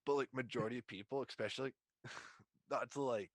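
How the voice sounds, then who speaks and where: A man's voice: mid-range voice, fairly even intonation, a little fast, dry recording. A man in his twenties hosting a casual podcast chat, talking in slangy, profane bro-talk. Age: 20s. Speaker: podcast host.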